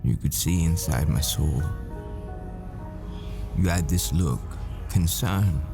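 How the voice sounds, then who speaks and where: A man playing a tender, haunted professor boyfriend in an intimate audio roleplay, speaking in halting, wistful, confessional phrases. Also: extremely low pitch, creaky, heavily acted. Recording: music behind the voice. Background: music.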